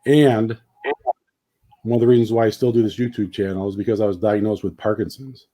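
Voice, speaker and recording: A man in his late forties speaks in a fairly low voice in a casual group chat. His tone is dark and mellow, flat and plain-spoken. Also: brisk. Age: 40s. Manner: flat, plain-spoken, says "freaking" a lot.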